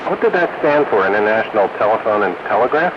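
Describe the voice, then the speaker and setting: A man's voice: on the low side, sing-song, fast, dull audio. A man chatting casually and jokingly with fellow hobbyists over a CB radio full of static. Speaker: CB radio roundup host. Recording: noisy CB radio transmission, heavy static. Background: radio static and hiss.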